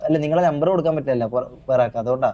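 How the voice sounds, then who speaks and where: A man's voice: mid-range voice, racing, dull audio. A man in casual in-game roleplay chat, hesitant and repeating himself.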